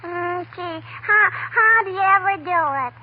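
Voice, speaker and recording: A woman's voice: very high voice, steady tone, measured pace. A woman playing a naive, cheeky little neighbour girl who pesters coyly in a 1940s radio comedy. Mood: curious, innocent. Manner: coy, repetitive catchphrases and questioning hums. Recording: tinny, faintly noisy 1940s radio recording.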